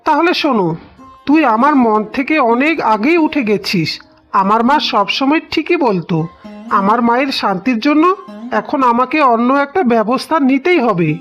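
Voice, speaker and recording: A woman's voice: a little high, animated, normal speed, fairly bright tone, faint music behind. A woman voicing a cold, domineering village husband in a melodramatic cartoon. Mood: cold scolding. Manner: stern, dismissive. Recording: dull lo-fi cartoon soundtrack.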